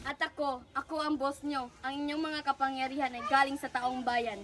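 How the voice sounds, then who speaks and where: A woman's voice: high-pitched, flat delivery, moderate pace, slight background noise. A woman playing an indignant, nagging neighbourhood resident in a student skit, with a Filipino accent and a whiny, exasperated delivery. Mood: assertive. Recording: music loud behind the voice.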